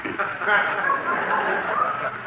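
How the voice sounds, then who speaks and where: An actor with an American accent playing a boastful, folksy, slangy husband who fancies himself an author, in 1940s radio comedy style. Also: male voice, very slow, phone-quality sound. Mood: breezy, folksy. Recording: noisy, thin old radio recording.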